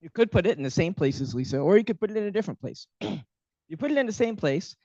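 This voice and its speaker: A man's voice: sits high, animated, fast, a little mellow, dry recording. A man giving a workshop lecture, hesitant with many ums and restarts, while losing his voice. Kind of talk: academic workshop talk. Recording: dull, muffled lecture audio.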